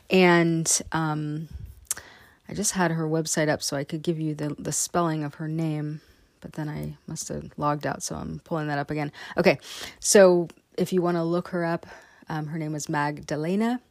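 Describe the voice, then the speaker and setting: Low-voiced woman podcast host, lots of fry, rambly with ums, dry close sound. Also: no echo. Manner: rambling, many ums and restarts. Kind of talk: casual podcast intro and interview.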